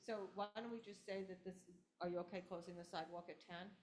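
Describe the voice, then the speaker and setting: Woman chairing a meeting, brisk questions. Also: fairly low pitch, fairly even intonation, darkish tone. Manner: brisk, practical questioning with restarts. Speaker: committee chair.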